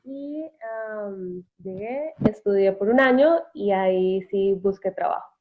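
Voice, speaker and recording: A woman's voice: medium pitch, takes it slowly. Woman on a tinny call line. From Bogotá, Colombia. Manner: thoughtful, with hesitations and self-corrections. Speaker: interview guest, immigrant. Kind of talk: casual interview answers. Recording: tinny phone-like call audio.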